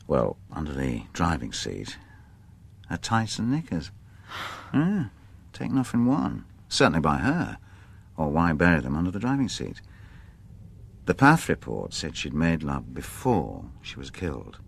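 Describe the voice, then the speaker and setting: An actor playing a middle-aged police detective, wry and musing, in a conversational radio drama style. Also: male voice, a bass voice, huge pitch swings, unhurried, gravelly, breathy edge, full character voice. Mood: brisk, pondering.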